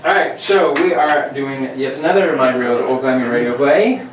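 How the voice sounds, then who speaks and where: A man's voice: mid-range voice, normal speed. Male host in a very echoey room. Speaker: show host. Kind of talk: casual comedic show introduction. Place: echoey room with several people. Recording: roomy, echoey, noisy, distant mic.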